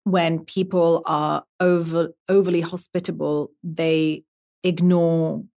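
A woman's voice: low-pitched, little pitch movement, slow pace, gravelly, a little mellow. A young woman, a guest artist, talking thoughtfully on a podcast, with many fillers and restarts. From half Emirati. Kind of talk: podcast conversation.

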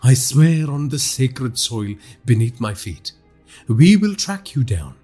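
A man voicing a determined, angry woman in an audiobook, speaking with forceful defiance. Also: mid-range voice, very animated, slow pace, breathy edge, soft background music, exaggerated voice. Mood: vengeful resolve. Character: determined, furious woman vowing revenge on her tormentor.

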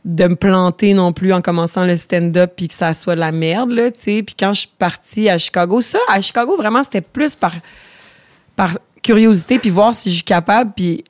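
A woman's voice: medium pitch, moderate pace, dry recording. Québécois woman in her thirties, casual podcast guest, self-deprecating, dry close sound. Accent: Québécois French. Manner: chatty, self-deprecating, many fillers and restarts. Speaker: guest, comedian.

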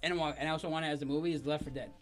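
A man's voice: on the high side, fairly even intonation, very fast, soft background music. A man on a casual podcast panel who jumps in eagerly with his own objections. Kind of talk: casual group discussion.

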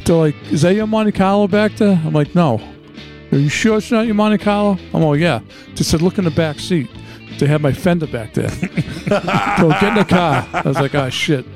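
A man, telling anecdotes in a plainspoken, halting, casual way. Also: sits high, soft background music. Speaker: podcast co-host.

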